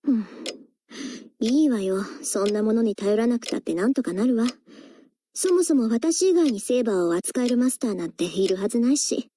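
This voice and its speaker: A woman's voice: a little high, expressive, rapid-fire, mellow, a little hiss or hum, natural voice. A woman playing a proud, confident teenage girl mage, self-assured and declamatory, in an anime visual novel.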